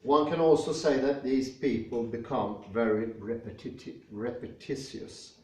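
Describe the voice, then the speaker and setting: Swedish-accented man lecturing in an echoey room. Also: medium pitch. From Sweden. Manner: thoughtful, pausing with fillers. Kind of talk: spoken lecture. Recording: echoey room, mic at a distance.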